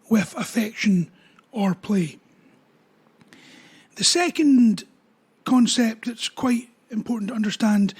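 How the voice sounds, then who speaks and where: A man, a dog trainer explaining training ideas in a relaxed talk with everyday examples. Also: very high voice. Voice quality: husky voice.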